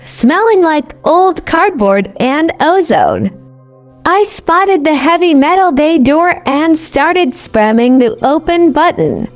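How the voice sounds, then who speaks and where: A woman narrating a scripted first-person horror story in a conversational but deliberate, dramatic way. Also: high-pitched. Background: music bed.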